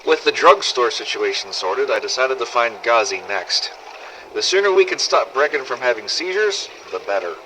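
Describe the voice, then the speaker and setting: Cynical male survivor played by an actor, mid-pitched and forceful, sardonic scripted audio-log storytelling, slightly noisy cassette-recorder effect. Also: animated, moderate pace. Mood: wry, irritated. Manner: sweary, sardonic, world-weary storytelling. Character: gruff, foul-mouthed zombie-apocalypse survivor, wry and cynical. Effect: old cassette recorder filter. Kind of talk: scripted in-character audio diary narration. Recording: old cassette tape with faint noise.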